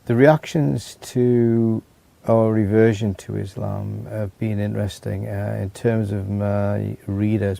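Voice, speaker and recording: Deep gravelly man, flat and dark. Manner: measured, with frequent fillers. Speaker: writer and convert. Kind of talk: interview testimony.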